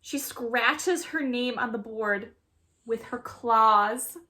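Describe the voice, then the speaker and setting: High-pitched, expressive woman reading a storybook. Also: slow pace. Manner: expressive, dramatic. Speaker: storybook reader, teacher. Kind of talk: theatrical children's read-aloud narration.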